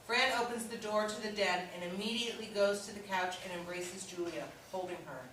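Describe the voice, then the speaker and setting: A woman's voice: medium pitch, fairly even intonation, a little fast, fairly bright tone. A woman giving scripted narration, reading out stage directions at a staged reading. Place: indoor staged reading, recorded from far off. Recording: echoey hall, distant mic, faint noise.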